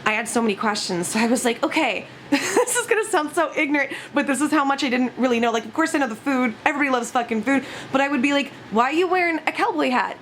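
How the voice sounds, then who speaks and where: A woman's voice: high voice, animated, fast. A young woman vlogging from home, her voice scratchy from just waking up, rambling casually with lots of giggles. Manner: giggly, rambling, frequent laughs and swearing. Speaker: YouTuber. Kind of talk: casual vlog chat.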